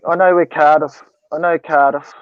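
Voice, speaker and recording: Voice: mid-range voice, fairly even intonation, normal speed, clean tone, no room sound, highs cut off. A speaker from New Zealand chatting casually on a live group call, asking the others easygoing questions. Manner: curious, asks questions, easygoing.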